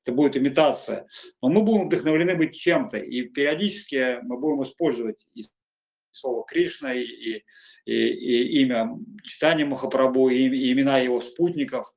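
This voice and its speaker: A middle-aged man, a monk, giving informal spiritual guidance in a halting way, with many fillers and restarts. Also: medium pitch, vocal fry.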